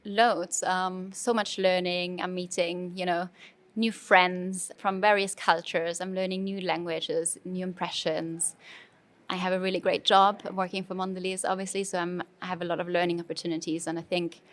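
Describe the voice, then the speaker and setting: A woman's voice: fairly low pitch, level tone, normal speed. A woman from Germany with a German accent, speaking as a guest in a conversational interview, thoughtful, with frequent fillers and restarts. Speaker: brand manager, interview guest. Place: conference venue.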